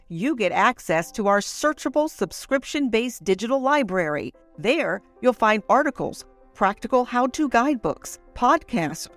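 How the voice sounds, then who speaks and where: A woman reading a scripted advertisement in an upbeat, persuasive way. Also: a little high. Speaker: ad announcer. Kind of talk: scripted ad read.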